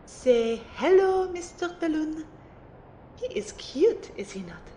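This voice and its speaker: A woman's voice: very animated, takes it slowly, dark tone, heavily acted. A woman playing a refined, playful magic mentor in an audio drama, formal and precise as she teases and lectures. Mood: playful, teasing. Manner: formal, precise, teacherly phrasing. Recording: roomy amateur recording, faint rumbling noise.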